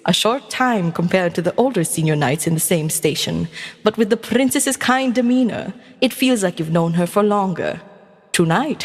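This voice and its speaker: Woman narrating fantasy story, long reverb. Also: medium pitch. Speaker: VTuber storyteller. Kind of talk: scripted second-person fantasy narration.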